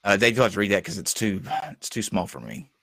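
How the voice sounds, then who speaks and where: Male talk host, lowish, hoarse from losing his voice, halting with fillers, dry close sound. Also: very animated, bright, forceful tone, no room sound. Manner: halting, many fillers and restarts. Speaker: show host. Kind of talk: casual live-stream talk show.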